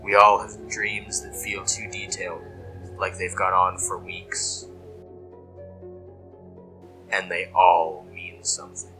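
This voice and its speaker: Casting: obsessed male dreamer acted by a man for horror audio drama, very low, flat pitch, brooding and hushed, music behind. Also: slow pace, long pauses, exaggerated voice, rough recording. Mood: hushed reverence. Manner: brooding, hushed, dropping into whispers. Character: obsessed, reverent male dreamer logging eldritch nightmares. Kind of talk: scripted horror monologue.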